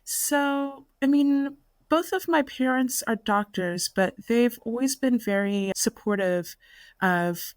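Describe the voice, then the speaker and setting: A woman's voice: medium pitch, dry recording. Chatty woman guest, dry sound. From Florida. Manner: chatty, many "like" fillers. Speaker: artist guest. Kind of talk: casual interview conversation.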